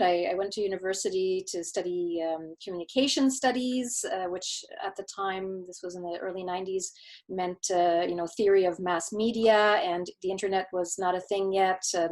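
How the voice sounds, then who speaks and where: Middle-aged woman, thoughtful and halting. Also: mid-range voice, a little mellow. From Canada, Quebec. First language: German. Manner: thoughtful, many false starts and filler words. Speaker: guest expert. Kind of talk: conversational interview answers.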